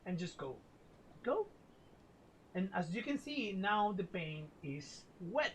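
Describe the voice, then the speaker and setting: A woman who is a non-native English speaker and a game developer, pitching her game in a halting, deadpan way with restarts. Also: slight background noise. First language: not English. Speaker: game developer in a promo video. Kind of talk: scripted product pitch.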